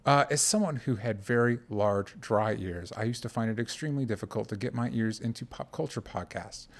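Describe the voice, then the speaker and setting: A young man co-hosts a comedy podcast. His voice sits at a middle pitch, and he talks in a casual, bantering way. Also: a little fast. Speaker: podcast co-host.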